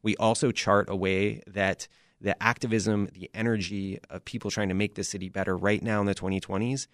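Low-pitched man, earnest interview guest. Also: fairly even intonation, fairly quick, fairly bright tone, no echo, studio quality. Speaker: audio drama founder and producer, interview guest. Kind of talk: conversational interview.

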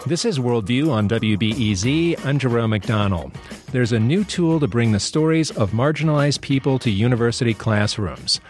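A man hosting a public radio interview show, asking measured questions with restarts. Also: mid-range voice, lively intonation, over music. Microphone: studio microphone.

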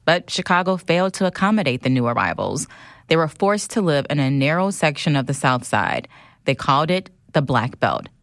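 A woman's voice: deep voice, no room sound, clean studio sound. A woman reading scripted docudrama narration as the guide. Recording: music bed behind.

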